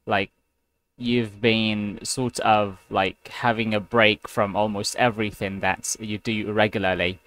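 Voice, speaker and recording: Young man, online English tutor on a headset mic; unhurried, clear, patient delivery. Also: on the low side, little pitch movement, a bit bright, no room sound, poor audio. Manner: slow, patient, rephrases and spells words for the student. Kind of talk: online one-on-one English class. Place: home room, video call. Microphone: gaming headset with boom mic.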